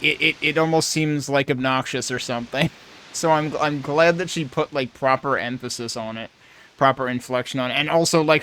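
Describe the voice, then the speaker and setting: A man's voice: medium pitch, fairly bright tone. A man giving casual, rambling playthrough commentary as he thinks aloud. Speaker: game streamer.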